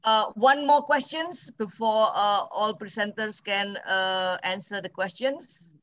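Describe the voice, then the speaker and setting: Woman, session moderator, brisk, affirming, repeats short replies, video call. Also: mid-range voice, fairly bright tone.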